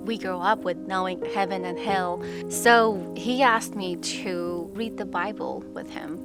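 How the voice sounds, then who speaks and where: A woman's voice: medium pitch, unhurried. Earnest young woman from Pakistan, music behind. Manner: earnest, reflective. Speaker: testimony speaker. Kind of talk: personal testimony. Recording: music bed underneath.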